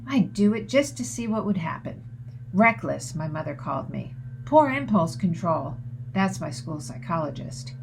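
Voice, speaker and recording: A woman's voice: on the low side, very animated, normal speed, vocal fry, poor audio. Woman reading aloud, with background noise. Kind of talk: expressive read-aloud of a middle-grade novel.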